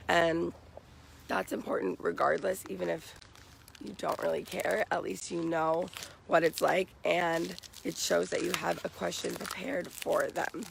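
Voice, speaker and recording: A young American man with a raspy, morning-hoarse voice, giving casual advice to viewers as a vlogger. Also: high voice, a bit bright, slight background noise, low-quality recording. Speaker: vlogger giving job interview tips. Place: outdoors.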